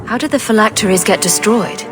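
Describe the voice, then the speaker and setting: Wry, defiant adventurer heroine played by an actress. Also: female voice, mid-range voice, brisk, loud music behind the voice, lightly acted. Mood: challenging. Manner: dry, sardonic. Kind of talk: video game voice acting.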